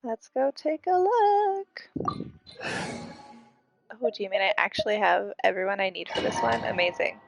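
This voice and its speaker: A congested woman gives casual commentary as she streams a game. Her voice swings widely in pitch and often drops into creak. She is playful and exclamatory, with clear game music behind her. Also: big pitch swings, unhurried, long pauses.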